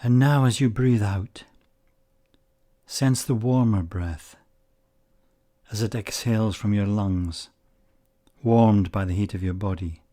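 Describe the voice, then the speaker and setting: Male meditation guide, low-pitched and very unhurried, calm, spacious phrasing. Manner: calm, measured, short phrases with long pauses.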